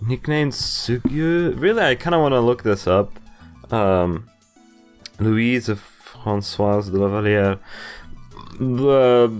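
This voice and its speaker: A young man reading game text aloud and commenting as he plays, with amused, halting asides. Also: medium pitch, a little mellow, clean tone. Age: young adult. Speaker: video game player and commentator. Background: game music.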